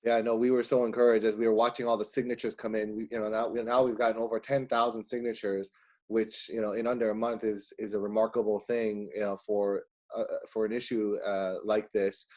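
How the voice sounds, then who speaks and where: A man interviewing a guest, speaking eagerly and quickly with some fillers. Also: on the low side, flat delivery, darkish tone. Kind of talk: interview questions.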